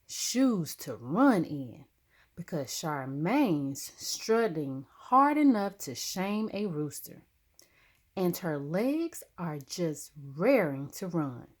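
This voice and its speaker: A woman narrating a children's story expressively, with dramatic, deliberate phrasing. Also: fairly low pitch, very animated. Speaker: storybook reader.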